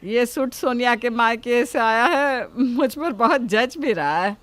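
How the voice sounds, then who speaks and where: A woman voicing an older mother-in-law, gentle but admonishing, in a family moral story. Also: a little high, unhurried, no room sound. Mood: placating. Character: mild, reproving older Indian mother-in-law.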